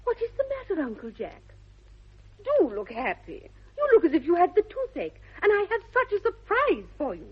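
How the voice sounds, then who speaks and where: A woman's voice: very high voice, huge pitch swings, moderate pace, heavily acted. A woman playing an eager, sweetly earnest young English lady with precise, gushing diction in a radio comedy of manners. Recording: dull 1960s radio recording.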